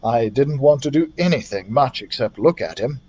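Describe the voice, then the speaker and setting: A man reading a children's adventure story aloud as a library storyteller, in an expressive narrating style. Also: mid-range voice, normal speed, breathy edge, dull audio.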